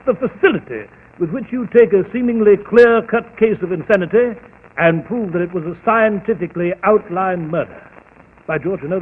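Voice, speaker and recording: Brief: an eager sidekick, high lively voice, tinny broadcast with faint hiss. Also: male voice. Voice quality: hearty, hesitant, admiring. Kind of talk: 1940s radio acting.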